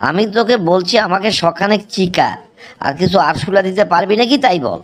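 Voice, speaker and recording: An earnest cartoon young man explains himself slowly and patiently, a little exasperated, as a voice actor plays him. His voice moves through wide, animated swings. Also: medium pitch, lightly acted. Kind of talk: cartoon comedy dubbing.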